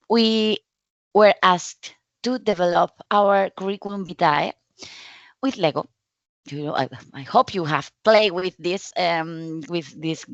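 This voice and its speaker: A woman from Spain with a Spanish accent, giving a conference presentation in friendly, halting non-native English with frequent restarts. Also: mid-range voice, gravelly, no echo, highs cut off. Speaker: conference speaker.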